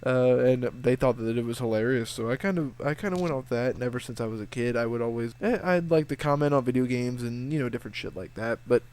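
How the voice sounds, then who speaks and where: A man's voice: mid-range voice, fast, hardly any pauses, a little mellow, clear, resonant tone. A young man with a sniffly, slightly stuffed-up voice, casually answering viewer questions in a rambling, laid-back way with lots of swearing and restarts. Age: young adult. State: sniffly with a sore throat. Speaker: video game walkthrough channel host.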